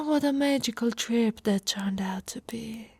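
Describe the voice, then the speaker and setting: A woman's voice: on the high side, lively intonation, slow pace, slightly rough, no echo, heavily acted. A woman from Italy voicing an affectionate, playfully boastful orc warrior wife in an intimate, teasing ASMR roleplay, often dropping to a whisper. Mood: tender, nostalgic.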